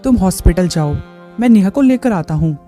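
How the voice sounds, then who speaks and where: A woman's voice: fairly low pitch, expressive, a little fast, warm tone, soft background music, lightly acted. Sly lecherous brother-in-law played by a woman. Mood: agreeable. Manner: coaxing, sly. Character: lecherous, smooth-talking married man, a brother-in-law.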